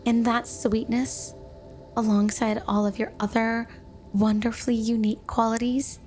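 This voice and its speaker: Woman voicing a snow woman, high feminine voice, obsessively loving, possessive, cooing, flirty ASMR roleplay, faint music behind. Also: little pitch movement, measured pace, darkish tone, close to an everyday voice. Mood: tender, doting. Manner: gushing, cooing, doting, dreamy. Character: obsessively devoted yandere snow woman wife, sweet but possessive.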